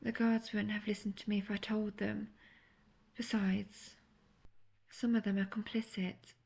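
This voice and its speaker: Female actor as scheming captive witch for fantasy audio drama: seductive, intimate, husky and breathy, with heavy vocal fry. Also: mid-range voice, flat delivery, a little slow, darkish tone. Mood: timid, secretive. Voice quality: breathy, often near-whispered. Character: manipulative, seductive imprisoned witch feigning innocent helplessness.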